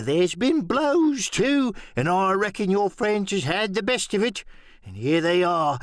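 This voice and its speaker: High-pitched, rambling old pirate castaway. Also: male voice, dry recording, clean studio sound. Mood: excited, confiding. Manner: rustic sailor talk, drawn out and rambling. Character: wily, anxious, half-crazed old marooned pirate castaway. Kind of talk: audiobook radio play acting.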